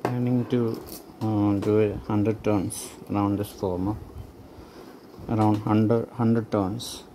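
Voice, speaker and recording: A man giving an unscripted how-to narration while working at a table indoors, speaking haltingly in trailing phrases. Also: fairly low pitch, flat delivery, warm tone. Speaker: hobbyist presenter. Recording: noticeable background noise.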